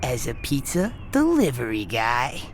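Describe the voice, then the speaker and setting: Brash, excitable teenage boy, lively pitch. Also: unhurried, slight background noise. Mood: eager, brash. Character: cocky, scheming teenage boy, eager would-be hero. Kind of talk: naturalistic radio drama acting.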